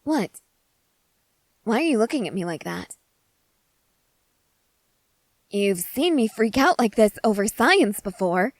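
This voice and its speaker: An eager scientist soldier played by a woman in an audio roleplay, talking straight to the listener. She is excitable and earnest, leaning on key words and halting between thoughts. The voice sits at a middle pitch in a close, dry recording. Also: dry recording, close to an everyday voice. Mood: puzzled. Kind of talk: ASMR character roleplay addressed to the listener.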